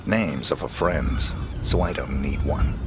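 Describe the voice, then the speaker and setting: An actor playing a cold, terse professional hitman in a video game, with a curt, deadpan delivery. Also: very deep voice, slow, heavy background noise, heavily acted. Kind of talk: video game cutscene acting.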